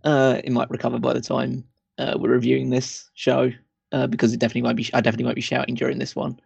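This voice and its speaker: Croaky, gravelly-voiced male podcaster. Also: medium pitch, monotone, racing, darkish tone, no echo. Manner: hesitant, many ums and uhs. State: croaky from shouting. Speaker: podcast co-host. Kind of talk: casual chat.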